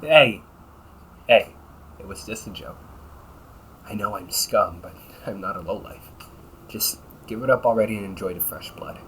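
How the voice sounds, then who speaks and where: A man's voice: lowish voice, moderate pace, pauses often, fairly bright tone, a little hiss or hum, close to an everyday voice, low-quality recording. A man playing a cynical, teasing vampire cop in an audio roleplay, talking in a slangy, sarcastic and flippant way. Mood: casual, coaxing. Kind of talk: audio roleplay, casual conversational acting.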